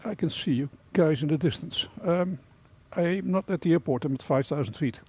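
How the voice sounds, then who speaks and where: A man's voice: a little high, normal speed, a little mellow, slightly rough. A man in his sixties speaking plainly and matter-of-factly as a guest pilot in a casual group chat. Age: 60s.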